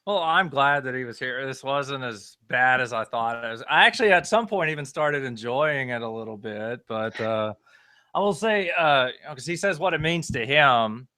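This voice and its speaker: A man's voice: medium pitch, expressive, normal speed, pushed and bright, dry recording. A man from Western Oklahoma, a podcast co-host, arguing his side in a talkative, argumentative way. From Western Oklahoma, United States. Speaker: podcast co-host, history channel creator. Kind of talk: podcast debate.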